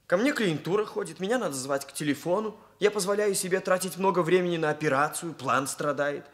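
High-voiced, earnest young male hairdresser. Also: fairly quick, fairly bright tone. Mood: aggrieved, earnest. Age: young adult. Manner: stilted, pompous officialese. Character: earnest, aggrieved young male hairdresser, stiffly bureaucratic speech. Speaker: actor in radio play. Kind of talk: Soviet radio drama acting.

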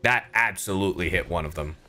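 A man's voice: deep voice, fast talker, pushed and bright. A young man giving casual, irreverent gameplay commentary, playful and full of swearing. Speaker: game streamer.

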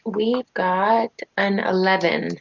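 A woman's voice: medium pitch, slow pace, vocal fry, dry recording. A woman playing a tabletop game and chatting casually. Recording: dull lo-fi call audio.

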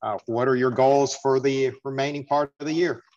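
A man's voice: mid-range voice, fairly even intonation, moderate pace, a little mellow, highs cut off. A male reporter on a video call asks a player friendly, conversational questions. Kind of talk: press conference question.

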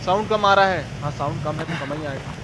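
A man's voice: fairly high pitch, fairly quick, noisy background. A man hosting a live game stream, chatting casually and slangily as he greets viewers by name.

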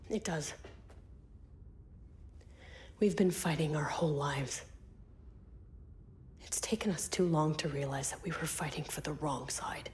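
An actress playing a disciplined, earnest military commander in a video game, speaking with crisp restraint. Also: female voice, sits low, unhurried, pauses often, darkish tone, slight background noise. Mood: solemn, earnest. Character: disciplined, earnest female commander, defector soldier. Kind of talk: cinematic video game acting.

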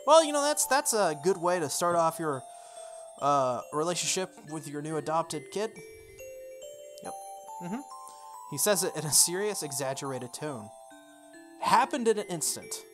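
A game playthrough host narrates a visual novel over clearly audible music. The voice sits in a middle register. The reading is story-like, broken up by playful, informal comments on the script. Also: mid-range voice, animated, moderate pace, pauses often. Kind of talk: reading visual novel text aloud with casual asides.

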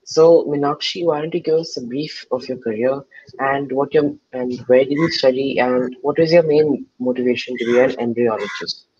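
A young man asks short questions through a headset, and his audio comes across slightly dull. His voice sits at a middle pitch, and he moves things along with a quick "all right". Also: a little mellow, husky. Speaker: interviewer. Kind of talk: video call interview questions. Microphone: headset on video call.

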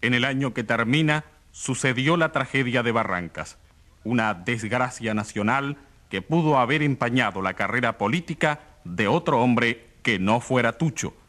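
A man's voice: mid-range voice, lively intonation, normal speed, fairly bright tone, natural voice. A man acting a studio announcer, reading scripted profiles in a formal, declamatory way for a radio drama.

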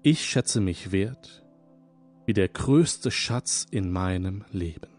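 A man leading a guided hypnosis session, speaking in a calm, soothing, repetitive manner. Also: sits low. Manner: slow, soothing, hypnotic, repeats phrases. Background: soft background music.

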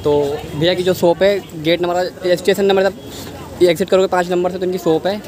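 A man's voice: high-pitched, level tone, moderate pace. Chatty young man vlogging in a crowded market. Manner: chatty, addresses viewers as guys and brother, asks prices and repeats them. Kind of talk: market walk-through vlog. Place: busy outdoor street market. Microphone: handheld phone at arm's length. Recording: loud street crowd behind.

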